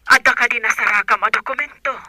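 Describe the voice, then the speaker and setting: Woman acting very sharp-voiced cautious aunt. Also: a little high, fairly even intonation, fast, dry recording. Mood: cautious, instructing. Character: secretive older female aunt, cautious, instructing. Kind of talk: Ilocano audio drama acting.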